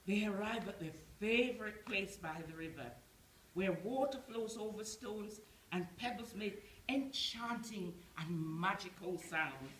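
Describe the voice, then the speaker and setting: A woman in her seventies telling a bedtime story on stage in an expressive, measured storytelling manner. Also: mid-range voice. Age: 70s. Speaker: storyteller in an amateur pantomime. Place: small theatre stage. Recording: roomy theatre echo with faint noise.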